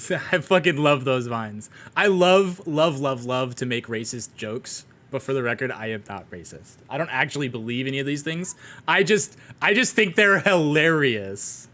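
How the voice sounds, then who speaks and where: A man's voice: mid-range voice, animated, bright, forceful tone. A young man running a tabletop game on a stream, casual and profane, often laughing between rulings. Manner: profane, joking, quick to laugh. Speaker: game master.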